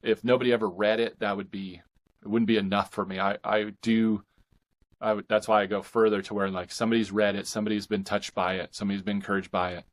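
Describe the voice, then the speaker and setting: A man speaking as a guest author in a casual interview, earnest and reflective, often halting and repeating words. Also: fairly low pitch, level tone. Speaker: guest, indie fiction author.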